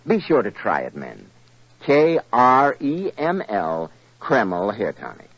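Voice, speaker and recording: A man with an American accent announcing and pitching a sponsor in a polished, emphatic 1940s radio style. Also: wildly swooping pitch, takes it slowly, a bit bright, no room sound. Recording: muffled old radio recording.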